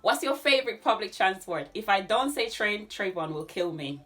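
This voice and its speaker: A woman in her thirties with a Jamaican accent, chatting casually to camera in an animated way as she answers questions. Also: mid-range voice, moderate pace, faint music behind. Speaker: YouTube vlogger. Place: small furnished room at home.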